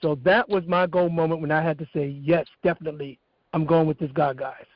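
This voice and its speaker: A man's voice: on the high side, fairly even intonation, fairly quick, no echo. A man speaking as a podcast guest, giving a personal interview answer. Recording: slightly dull online call.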